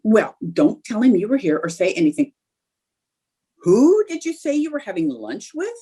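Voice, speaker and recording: A woman playing an eager, scheming, chatty young housewife in an old-time radio comedy, with a husky voice. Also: medium pitch, big pitch swings, moderate pace, dark tone. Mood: eager, conspiratorial. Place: indoors, remote video call.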